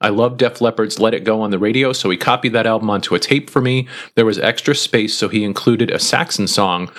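Podcast co-host, male, lowish voice, easygoing reader who pauses often. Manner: relaxed, many "uh" pauses while reading. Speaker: podcast co-host reading listener feedback. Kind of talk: casual chat, reading comments aloud.

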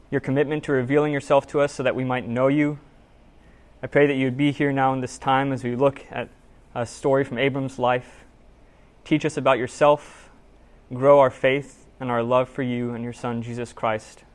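A man delivers a sermon in a church sanctuary, reading scripture and then telling a story. He sounds earnest, measured and conversational. His voice sits at an ordinary middle pitch. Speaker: preacher.

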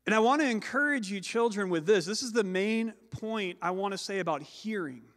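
A high-voiced man preaches a sermon with a loud, carrying voice. He slows down and stresses the words he wants to land. The sound rings with the long echo of a large hall. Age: adult with children. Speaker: pastor.

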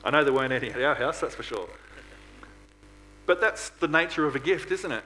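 A man delivers an earnest church sermon in a mid-pitched voice, posing rhetorical questions as he goes. The recording carries some background noise and a hum. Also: expressive, fairly quick, pushed and bright, poor audio. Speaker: preacher at a church. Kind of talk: sermon to a congregation.

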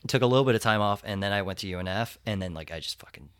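A man's voice: on the low side, very fast, clean tone, dry recording, studio quality. A man in his late twenties, a comedian guest on a podcast, joking and swearing in a self-deprecating way. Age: 20s. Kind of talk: casual podcast chat.

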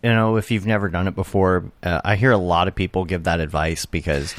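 A man's voice: low-pitched, steady tone, fairly quick, no echo. A man hosting a casual chat, rambling and restarting his questions in a friendly way. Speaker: host.

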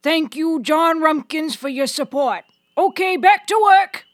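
A high-pitched, slow and exclamatory announcer played by a woman, gushing with excitement in a singsong podcast shout-out. The delivery is playful and drawn out. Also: dry recording, clean studio sound. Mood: gushing, excited. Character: gushing, over-excited announcer thanking a supporter.

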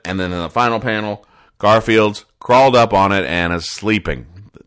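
A man hosting a casual comedy podcast, retelling comic strips in a halting, conversational way and explaining the jokes with mock earnestness. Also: fairly low pitch, a bit bright. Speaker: podcast host.

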